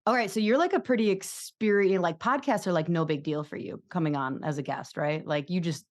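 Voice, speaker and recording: A woman's voice: lowish voice, brisk. A young adult woman hosting her podcast, talking casually with many filler words, restarts and giggles. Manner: chatty, lots of likes and restarts, giggly. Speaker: podcast host, chiropractor.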